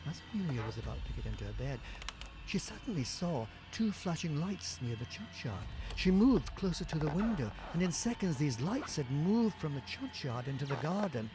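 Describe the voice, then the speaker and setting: A male narrator tells a gothic horror story as radio drama, with music behind him. His fairly high voice is theatrical and suspenseful, leaning hard on key phrases. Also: very animated, moderate pace, poor audio.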